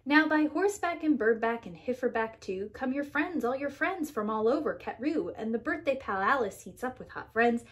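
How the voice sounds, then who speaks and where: A woman's voice: a little high, lively intonation, moderate pace, few pauses. A woman preschool teacher reading a rhyming picture book aloud to young children in an emphatic, sing-song rhythm.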